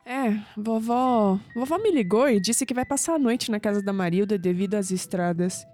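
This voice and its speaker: An actress playing a guarded, teasing young woman researcher with a Brazilian accent in an audio drama, quick with sceptical retorts. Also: medium pitch, warm tone, soft background music, lightly acted. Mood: explaining, wry.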